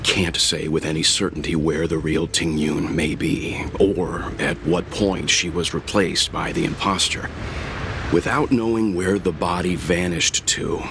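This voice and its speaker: A calm, analytical game adviser with a deep voice. He speaks gravely and deliberately, with clear noise behind him. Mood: grave, measured. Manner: measured, deliberate, serious. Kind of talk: dramatic game dialogue. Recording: noisy game audio.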